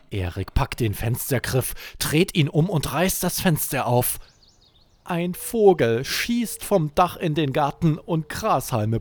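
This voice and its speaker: A man hosting a children's podcast, narrating a scripted bedtime story in an expressive, deliberate way. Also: medium pitch.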